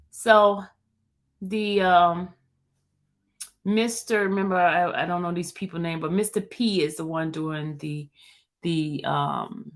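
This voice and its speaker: A woman hosting a live stream, chatting casually, greeting viewers, and rambling before she reads. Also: fairly low pitch.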